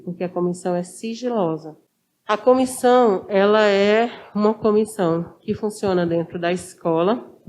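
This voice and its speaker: A woman's voice: on the low side, darkish tone, slight background noise. A woman with a Brazilian accent answering as an interview guest, conversational and didactic, repeating her key points. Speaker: social worker, interview guest. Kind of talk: informal explanatory interview.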